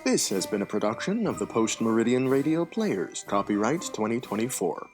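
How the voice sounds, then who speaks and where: A man's voice: mid-range voice, very animated, moderate pace, music in the background. A man reading a scripted announcement as an announcer.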